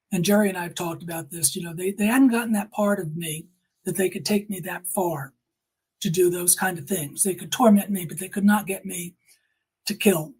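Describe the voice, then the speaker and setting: An older woman giving personal testimony in conversation as an interview guest, speaking emphatically with deliberate repetition. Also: fairly low pitch, darkish tone, breathy edge, poor audio.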